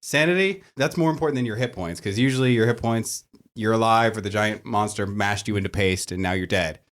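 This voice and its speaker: A man's voice: on the low side, fairly quick, a bit bright. A man speaking as a game master guest, explaining rules in a casual group chat. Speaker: tabletop and LARP game master, panel guest.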